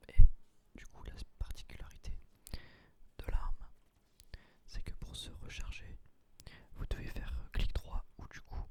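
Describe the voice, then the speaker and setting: A man quietly explains his gameplay in a casual, breathy ASMR whisper. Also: unhurried, long pauses. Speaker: gaming video creator.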